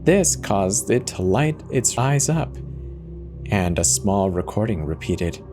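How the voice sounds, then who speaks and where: Low-voiced man narrating horror, animated delivery. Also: slow pace, over music. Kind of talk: dramatic scripted first-person narration.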